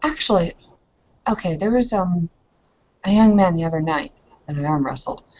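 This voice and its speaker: A woman's voice: on the low side, expressive, moderate pace, darkish tone, lo-fi sound. A woman playing an earnest, proud young newcomer in an improvised roleplay, with formal, hesitant, questioning phrasing. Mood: chatty.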